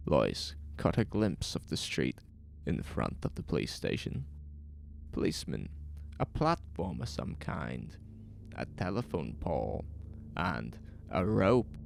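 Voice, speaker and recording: A man narrating a scripted horror audio drama in a deliberate, suspenseful storytelling manner. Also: extremely low pitch, wildly swooping pitch, gravelly, slight background noise. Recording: music behind the voice. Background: music.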